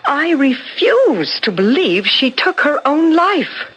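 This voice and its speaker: A sharp, suspicious American woman in a vintage radio drama. Her high voice swings expressively with pointed bitterness, over a faintly noisy old broadcast. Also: very animated, slow, muffled recording, exaggerated voice. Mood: bitter. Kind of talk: 1970s radio drama acting.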